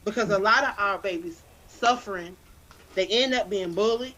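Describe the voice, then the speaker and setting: A woman with a South Carolina Southern accent, a guest in a casual interview, talking in an animated, preacherly way and often repeating words as she builds a point. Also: medium pitch, normal speed, rough recording. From Anderson, South Carolina. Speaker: guest, literacy campaign founder.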